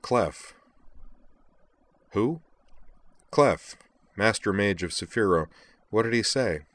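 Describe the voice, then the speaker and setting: A man's voice: fairly low pitch, lively intonation, takes it slowly, a bit bright, no room sound. A man voicing a casual, slightly annoyed young woman in a fantasy audiobook, offhand and flippant. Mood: curious.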